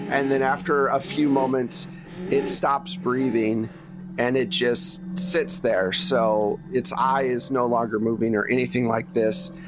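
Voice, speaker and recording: A man running a tabletop horror game, improvising the narration in short phrases with pauses between them. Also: mid-range voice. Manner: pausing, piecing out sentences in short phrases. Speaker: game master of a tabletop actual play. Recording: music playing under the voice. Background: music.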